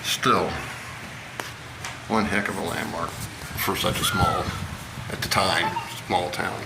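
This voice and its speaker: An American man from Indiana giving a casual, plain-spoken, unhurried how-to demonstration as a hobby vlogger, pausing as he works. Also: sits low, fairly even intonation, vocal fry, heavy background noise. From Indiana, United States. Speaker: amateur radio hobby vlogger. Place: outdoors.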